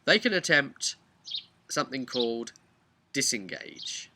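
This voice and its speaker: A man's voice: mid-range voice, very animated, measured pace, background noise. A man hosting a tabletop gaming series, talking casually and emphatically to viewers as he explains things. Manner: conversational, emphatic, acts out imagined players' remarks. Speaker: host of a tabletop role-playing video series. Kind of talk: casual explanatory vlog talk addressed to viewers.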